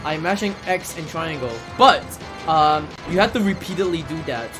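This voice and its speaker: A man's voice: fairly high pitch, lively intonation, moderate pace. A man giving casual, chatty live commentary while playing a video game as a speedrunner. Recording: game music behind the voice. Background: video game music.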